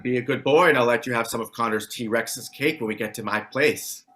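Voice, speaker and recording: Man acting a bright-voiced rig worker, pushing forty. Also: mid-range voice, a little fast, close to an everyday voice. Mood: easygoing, firm. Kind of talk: table read of a TV drama script.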